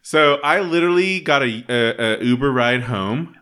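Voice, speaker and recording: A young man with a bright, tense-edged voice telling a story in loose, rambling fashion. He laughs often and restarts his sentences as he goes. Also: medium pitch, animated, moderate pace. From Utah. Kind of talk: casual chat among friends.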